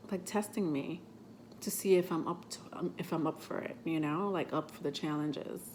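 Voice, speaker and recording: A woman on the lower side of the usual pitch range, her voice a little stuffy because she is getting over a cold. She hosts a tarot vlog and talks in a rambling, chatty way with plenty of fillers. A faint hiss of noise sits under her voice. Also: moderate pace, darkish tone, poor audio. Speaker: tarot reader and channel host. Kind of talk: casual vlog talk to camera.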